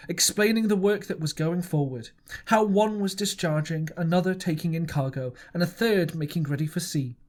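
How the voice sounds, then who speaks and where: A man reading a classic novel aloud as an audiobook, with expressive storytelling. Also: on the high side. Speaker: audiobook reader.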